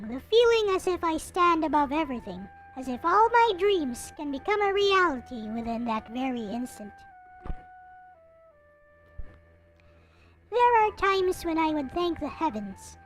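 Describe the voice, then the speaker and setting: Despairing youthful visual novel narrator, read by a woman, high and swooping, deliberate and dramatic, over game music. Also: big pitch swings. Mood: wistful. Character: despairing young narrator losing eyesight, wistful and bitter. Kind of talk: reading visual novel text aloud.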